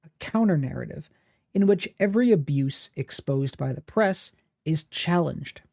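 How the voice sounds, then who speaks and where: A man hosting a history podcast, reading scripted narration in a measured, analytical and critical way. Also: fairly high pitch, lively intonation, creaky, a little mellow, dry recording, clean studio sound. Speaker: podcast host.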